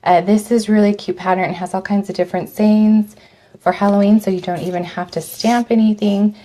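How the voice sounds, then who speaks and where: A woman with a cold and a slightly raspy voice, chatting through a live step-by-step craft demo, her voice dropping off as she leans away from the mic to work. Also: medium pitch, fairly even intonation, brisk, dark tone. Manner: chatty, step-by-step instructions with fillers. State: hoarse from a cold. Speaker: craft host.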